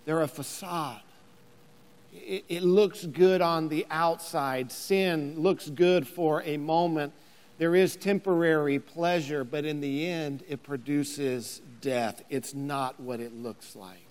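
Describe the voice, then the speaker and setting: Pastor, thirties, male; deliberate, rises to emphatic near-shouts, church hall reverb. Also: a little high, steady tone. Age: 30s. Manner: builds to emphatic near-shouting, repeats words. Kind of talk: sermon.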